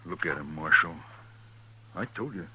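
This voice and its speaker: An American actor playing an angry, blunt, accusing stagecoach driver in a 1950s radio Western. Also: male voice, low voice, lively intonation, moderate pace, pushed and bright, husky, exaggerated voice. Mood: bitter, accusing. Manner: blunt, insistent. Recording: noisy 1950s radio recording.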